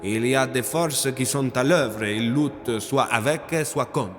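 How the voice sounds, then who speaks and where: Man voicing a chatty, higher-pitched explainer. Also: unhurried, big-room sound, natural voice. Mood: explaining.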